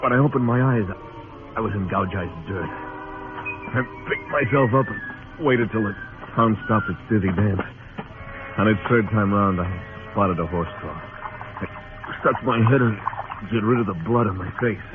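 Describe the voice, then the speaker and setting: An actor playing a world-weary, laconic frontier marshal with a dry, brooding manner in a radio western. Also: male voice, fairly low pitch, moderate pace, pauses often, natural voice. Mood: grim. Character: hard-bitten, world-weary male US marshal in Old West. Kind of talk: 1950s radio western narration and acting. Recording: tinny old radio recording, music behind.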